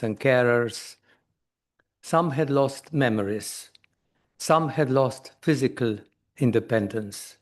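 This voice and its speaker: Older man, slow and deliberate formal speaker. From Slovenia. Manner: measured, deliberate, trailing low at phrase ends. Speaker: conference speaker. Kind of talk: formal prepared speech.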